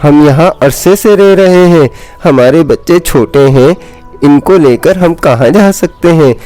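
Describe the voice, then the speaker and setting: A man's voice: on the high side, unhurried, dark tone, soft background music, voice pushed up, lightly acted. A man voicing a worried, imploring small bird in a children's animal story. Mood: pleading. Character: anxious pleading small birds, sparrow and parrot.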